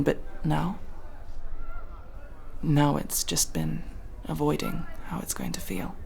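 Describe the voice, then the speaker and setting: Gentle alien woman, played by an actress, sci-fi ASMR drama: soft, halting, gravelly fry, faint noise behind. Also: deep voice, full character voice. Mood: resigned, sorrowful. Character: homesick female alien partner, gentle and hesitant. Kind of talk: ASMR roleplay audio drama.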